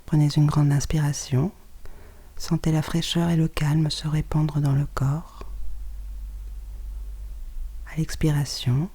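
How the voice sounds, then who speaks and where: A guided relaxation voice with a dark, mellow tone and a husky, breathy edge. The pitch sits in a middle range. The guide speaks softly and calmly, close to a whisper, giving short scripted instructions separated by pauses. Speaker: yoga nidra guide.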